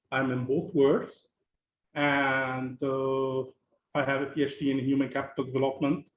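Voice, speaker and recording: A man's voice: mid-range voice, roomy sound, a little hiss or hum, low-quality recording. A man from Lebanon moderating an online panel discussion, talking casually with many fillers and restarts over a video call.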